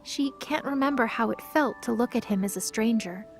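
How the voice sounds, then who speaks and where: A woman's voice: a little high, normal speed. A woman narrating a scripted audio drama as a storyteller. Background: music.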